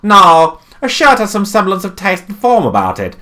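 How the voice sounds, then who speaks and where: An eccentric weasel time traveller from a comic radio play, put on by one performer. The delivery is blustering and indignant, full of exclamation, with very animated swings in pitch. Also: normal speed.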